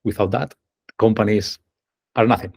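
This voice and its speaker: A man with a thick Spanish accent speaks in a lowish voice over a video call. He explains ideas one at a time and keeps checking in with his listeners through questions. The pace is easy and conversational. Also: steady tone, normal speed, vocal fry. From Spain. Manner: explanatory, poses questions to the audience. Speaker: engineering manager giving a workshop. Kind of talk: online presentation.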